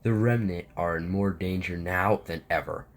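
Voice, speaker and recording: A man's voice: sits low, normal speed. A man acting a stern, resolute military captain burdened by guilt, with a commanding manner, in an amateur audio drama. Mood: grave, firm.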